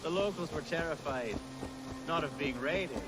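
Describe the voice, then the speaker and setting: A man's voice: fairly high pitch, moderate pace, natural voice, poor audio. An actor playing a nervous, chatty, rambling Anglo-Saxon nobleman in a video game cutscene. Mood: awkward chatty. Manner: rambling, fussy, flustered, effusive.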